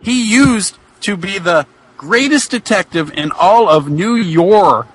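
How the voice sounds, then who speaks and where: A man playing an earnest male wrestler pleading for an accused friend, declaiming and halting over the script in a mock-dramatic reading. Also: sits high, lively intonation, exaggerated voice, rough recording.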